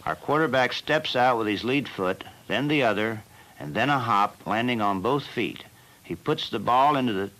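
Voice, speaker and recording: A man narrating a football training film as the team's coach, in a measured and plain instructional manner. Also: mid-range voice, a bit bright, rough recording.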